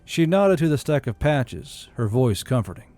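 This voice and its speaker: Mellow male audiobook narrator. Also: medium pitch, sing-song, normal speed, dry recording. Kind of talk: scripted audiobook narration of fantasy war fiction.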